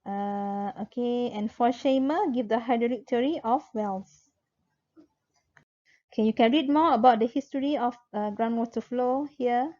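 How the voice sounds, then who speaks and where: A woman lecturer with a Malaysian accent and a fairly high voice explains material informally, step by step. She speaks haltingly and circles back over key points. The recording is somewhat dull and lo-fi. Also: mellow. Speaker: university lecturer.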